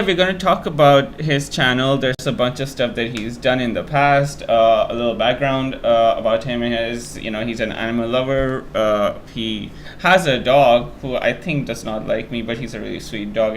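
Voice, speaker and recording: A man's voice: medium pitch, moderate pace, slight background noise. A man hosting a casual interview show, talking in a rambling, self-deprecating way.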